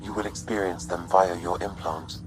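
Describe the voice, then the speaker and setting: Man acting a very calm, low-voiced artificial intelligence. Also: noisy background, close to an everyday voice. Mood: measured, explanatory. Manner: measured, formal, precise. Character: calm, courteous sentient artificial intelligence aiding an explorer. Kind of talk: video game voice acting.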